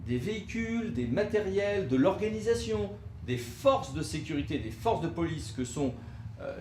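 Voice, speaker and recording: A man's voice: a little high, darkish tone. A man gives an explanatory legal lecture with slides, in a didactic manner with emphatic signposting and frequent hesitations. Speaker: legal expert presenting. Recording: roomy echo with faint background noise.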